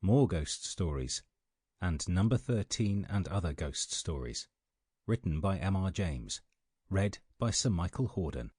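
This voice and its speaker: A man's voice: deep voice, animated, unhurried, a little mellow, slightly rough, dry recording, clean studio sound. A man reading a scripted audiobook title announcement.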